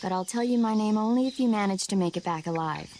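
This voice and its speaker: An actress playing a young, friendly military medic with a teasing manner in a video game. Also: female voice, medium pitch, quick pace, lightly acted. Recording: game audio with loud insect noise.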